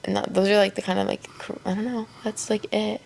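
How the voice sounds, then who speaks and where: A woman's voice: medium pitch, flat delivery, quick pace, vocal fry, a little mellow, slight background noise. A woman in her twenties hosting a podcast, chatting casually and saying "like" a lot. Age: 20s. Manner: chatty, heavy use of "like". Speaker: podcast host. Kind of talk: casual chat.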